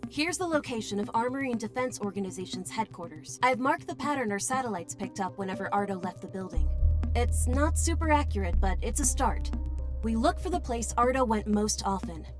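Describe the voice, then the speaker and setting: A woman's voice: on the high side, animated, brisk, lightly acted. Woman as a composed strategist, music behind. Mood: composed, businesslike. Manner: composed, explanatory. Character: businesslike female strategist briefing a team. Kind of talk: scripted game voice acting.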